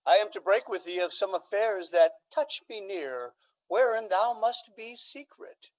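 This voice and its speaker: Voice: medium pitch, animated, measured pace, close to an everyday voice, low-quality recording. An actor playing an aging, scheming Duke in a Shakespearean radio drama, with a theatrical, courtly and emphatic delivery. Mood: probing, courtly. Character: aging, scheming Duke, aggrieved father feigning lovesickness.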